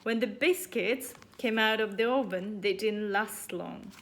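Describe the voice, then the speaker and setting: A woman's voice: mid-range voice, measured pace, a bit bright. A woman teacher reading a children's storybook aloud expressively to young pupils. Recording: faint background noise.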